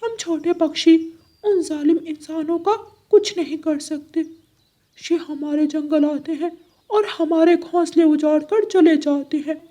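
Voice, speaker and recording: A woman's voice: sits high, unhurried, dark tone. A woman voicing a male parrot, a loyal and consoling friend, in an emphatic Hindi cartoon style. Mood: concerned. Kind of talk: Hindi animated story dubbing.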